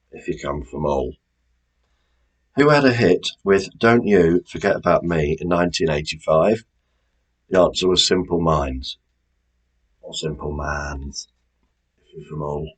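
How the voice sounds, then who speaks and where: A man hosting a quiz, reading out questions and answers in a deliberate, measured way with informal asides. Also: low voice, husky. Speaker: quiz host.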